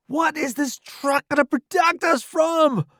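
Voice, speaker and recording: Man acting a high, frightened rookie soldier. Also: lively intonation, normal speed, clear, resonant tone, no room sound, clean studio sound. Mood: panicked. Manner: raised, strained shouting over noise. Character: frightened rookie male soldier, protesting and inexperienced. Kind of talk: dramatised audiobook character dialogue.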